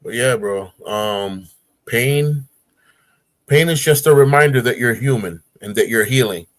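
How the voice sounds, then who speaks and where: A man's voice: medium pitch, measured pace, no echo. A man in his forties, a guest on a casual talk show, telling stories with energy and acting out grunts and voices. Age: 40s.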